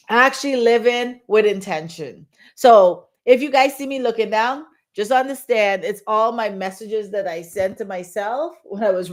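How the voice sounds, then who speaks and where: A woman hosting a casual livestream as a dating and marriage coach, bubbly and excited, talking in rambling run-on sentences. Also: medium pitch.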